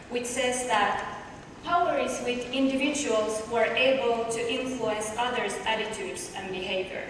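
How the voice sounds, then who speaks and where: A woman from Finland with a Finnish accent, presenting an academic paper at a conference in a measured, explanatory way with rhetorical questions. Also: on the high side. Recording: echoey distant hall recording with noise.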